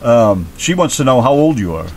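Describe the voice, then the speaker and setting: A man's voice: mid-range voice, big pitch swings, fairly quick, a little mellow, a little hiss or hum. A man hosting a casual radio interview, teasing his guest in a joking, easygoing way. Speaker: radio host.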